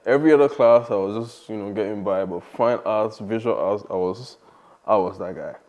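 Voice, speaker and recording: A young man, talking casually as a podcast guest in a relaxed, rambling way. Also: on the low side, normal speed, highs cut off. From Nigeria. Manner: relaxed, rambling, many fillers and restarts.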